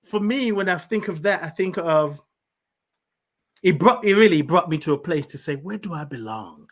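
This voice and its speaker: A man's voice: on the high side, expressive, normal speed, a bit bright. A man from Nigeria, hosting a podcast and asking long, thoughtful, searching questions. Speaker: podcast host. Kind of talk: reflective conversation.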